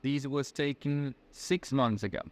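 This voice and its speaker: A man's voice: mid-range voice, measured pace. Ecuadorian man in his twenties, friendly. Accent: Latin American Spanish. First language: Spanish. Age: 20s. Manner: friendly, hesitant, many fillers and restarts. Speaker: interview guest. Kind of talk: casual conversational answers.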